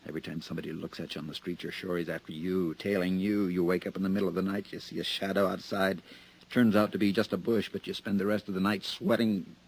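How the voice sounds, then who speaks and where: An actor playing a nervous, hunted male embezzler in a 1950s radio drama, edgy and halting. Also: low voice, level tone, husky, low-quality recording.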